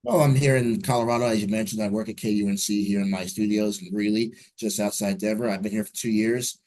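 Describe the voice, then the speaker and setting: 40s guy from new jersey, lowish voice, upbeat and fluent, telling his story on a video call. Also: fairly even intonation, quick pace, darkish tone. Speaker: radio news anchor and reporter.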